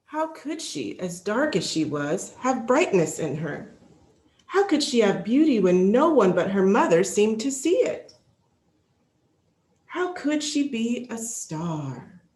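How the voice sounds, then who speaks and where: A woman reading a children's picture book aloud in an expressive, deliberate storytelling manner. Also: medium pitch. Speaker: storybook reader, library executive. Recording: roomy, echoing sound.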